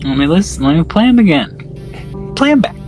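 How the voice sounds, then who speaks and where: A man's voice: fairly high pitch, sing-song, moderate pace, darkish tone, faint music behind, poor audio. A man co-hosting a podcast, casually discussing films in a crude, joking, profane way. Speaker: podcast co-host.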